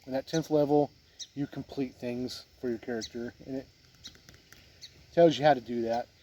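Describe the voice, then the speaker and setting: A man giving a casual, unscripted review as a video host, plain-spoken with halting phrasing, with wind on the recording. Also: medium pitch, steady tone, dark tone. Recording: wind noise on the mic.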